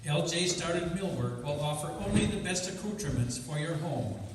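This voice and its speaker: A man's voice: a little high, lively intonation, normal speed, background noise. A man announcing and reading scripted copy in old-time radio style. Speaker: announcer and narrator. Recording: echoey hall, distant mic, music. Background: music.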